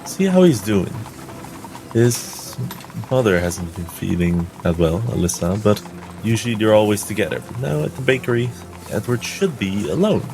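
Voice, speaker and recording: A man's voice: fairly low pitch, sing-song, normal speed, creaky, noisy background. A man playing a tabletop game, describing what his character does. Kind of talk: narrating own character's actions in roleplay. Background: music.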